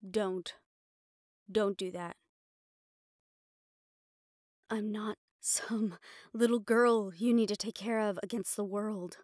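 A woman's voice: medium pitch, steady tone, slow pace, darkish tone, dry recording, studio quality, lightly acted. A woman playing a mafia boss's proud, frustrated personal assistant in an intimate roleplay audio drama, with an exasperated, self-reproachful manner. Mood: self-blaming, frustrated.

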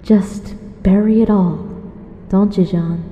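Haunting young woman, played by a woman: cruel, sardonic, unhurried, phone-thin sound in heavy reverb. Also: mid-range voice, steady tone, warm tone, lo-fi sound, natural voice. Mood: cruel, taunting. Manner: mocking, slow, sardonic. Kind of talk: audio drama acting.

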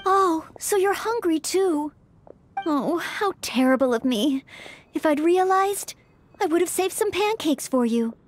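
A woman's voice: very high voice, expressive, measured pace. A woman voicing a polite, earnest young maid knight in a video game, courteous, apologetic and eager to please. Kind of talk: video game character acting.

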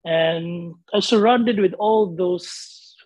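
A man's voice: high-pitched, extremely slow, dry recording, rough recording. A man in his forties from the Philippines with a Filipino accent, a guest painter chatting casually in an interview over a video call from his home studio. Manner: relaxed, rambling, with frequent laughs and fillers. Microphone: webcam on video call.

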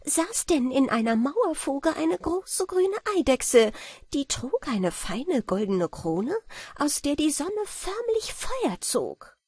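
Woman voicing an excitable, high-pitched young village maid. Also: takes it slowly, no echo, studio quality, heavily acted. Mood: awed, excited. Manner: breathless wonder, storybook gossip. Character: young village maidservant telling a wondrous sighting, awed and excitable.

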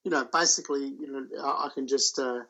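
A man talking about his sailing voyage as an interview guest, telling it in a halting, loose way. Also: medium pitch, fairly even intonation, sharp tone, muffled recording. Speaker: solo sailor guest. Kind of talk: casual interview chat.

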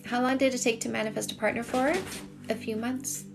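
Middle-aged female livestream coach, Canadian accent, fairly high voice with marked vocal fry, conversational, music behind. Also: little pitch movement, brisk. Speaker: dating coach and livestream host. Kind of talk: casual livestream chat, reading and answering viewer comments. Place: small home room with shelves, indoors. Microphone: phone at arm's length.

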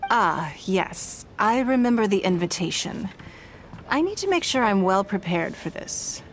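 A woman voicing a poised, shrewd businesswoman in a game, speaking in a composed, measured, elegant way. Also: medium pitch, sing-song. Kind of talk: game dialogue.

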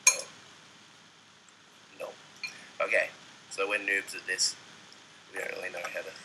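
A man's voice: sits low, flat delivery, unhurried. A young man chatting casually on a morning livestream from a living room, sleepy and joking. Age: young adult. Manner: sleepy, joking, laughs often. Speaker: band member on livestream. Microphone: laptop webcam mic. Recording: noisy webcam audio.

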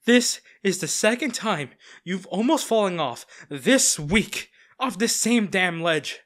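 A man's voice: sits high, lively intonation, slow pace, fairly bright tone, echoey room, long reverb tail, exaggerated voice. A man playing a stern, exasperated bodyguard with secret ill intent in a scripted audio roleplay. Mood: alarmed, scolding. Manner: polite to her, muttering dryly in asides. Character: stern, exasperated young male bodyguard secretly plotting against his charge.

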